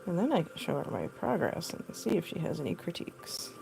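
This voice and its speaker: A young woman with a deep voice, chatting casually and rambling with lots of fillers while she sculpts on a live stream, recorded on a phone. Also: very animated, fairly quick, creaky, over music, rough recording. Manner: rambling, filler-heavy, laughs often. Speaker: costume artist streaming live.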